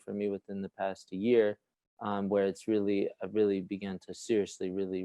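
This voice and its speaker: A man speaking as a panelist on a video call. He talks in a halting, thoughtful way, restarting as he searches for words. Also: lowish voice, dark tone, no room sound. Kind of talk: panel discussion.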